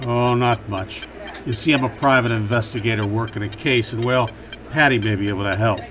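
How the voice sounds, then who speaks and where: A middle-aged actor playing a hard-boiled, world-weary private detective, narrating in a deadpan, measured radio play style. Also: male voice, medium pitch, noisy background, close to an everyday voice. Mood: calm, polite. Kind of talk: campy film noir radio play narration. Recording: music behind the voice.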